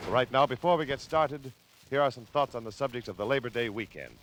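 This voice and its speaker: A man's voice: medium pitch, quick pace, a bit bright. A man announcing in 1940s radio style, reading sponsor copy with folksy, polished salesmanship.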